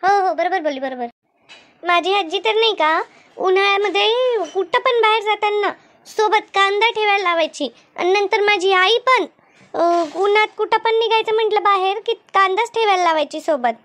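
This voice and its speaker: A woman voicing a chatty, good-humoured village gossip in an animated comedy, with a rural Maharashtrian accent and exclaiming, colloquial talk. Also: extremely high pitch, moderate pace. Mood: sympathetic, reminiscing. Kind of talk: animated cartoon comedy dialogue.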